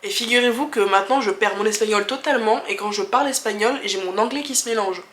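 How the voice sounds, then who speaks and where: Young French woman vlogger, twenties, bright tone, casual and candid Q&A delivery, roomy echo. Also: medium pitch, fast, slight background noise. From France. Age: 20s. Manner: candid, chatty, many fillers and restarts, laughs easily. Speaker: travel vlogger. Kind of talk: casual chat answering viewer questions.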